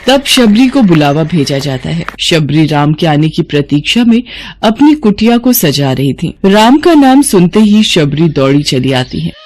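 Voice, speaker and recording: A woman's voice: deep voice, big pitch swings, measured pace, a little mellow. A woman narrating a scripted devotional story.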